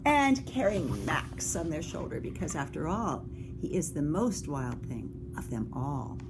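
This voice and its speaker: A woman reads a picture book to young children at an unhurried pace. Her delivery swings widely in pitch, with suspenseful hushes and questions for the listeners. There is clear noise in the recording. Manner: expressive, dropping into whispers for suspense. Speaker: school librarian or teacher reading to children.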